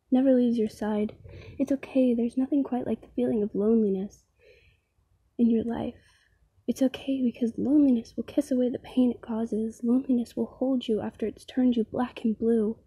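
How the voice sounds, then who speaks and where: A woman performing a slam poem in a soft, breathy voice with a solemn, deliberate delivery. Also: a little high, no room sound, muffled recording. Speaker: poet. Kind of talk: slam poetry recital.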